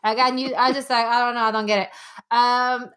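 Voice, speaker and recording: A woman's voice: a little high, little pitch movement, moderate pace, fairly bright tone, smooth. A woman from Belgium whose first language is French, talking casually with many fillers and repeated "yeah"s. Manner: chatty, lots of fillers and repeated yeahs. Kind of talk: casual chat.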